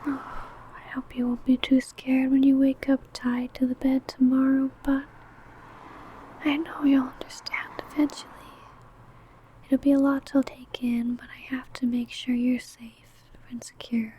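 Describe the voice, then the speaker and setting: Woman as a slow, whispering, possessive vampire lover. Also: high voice, little pitch movement, dark tone, slight background noise, low-quality recording. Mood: protective, possessive. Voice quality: breathy, unvoiced whisper. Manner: slow, intimate, crooning. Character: possessive, doting ancient female vampire, predatory yet tender. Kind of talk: whispered ASMR roleplay.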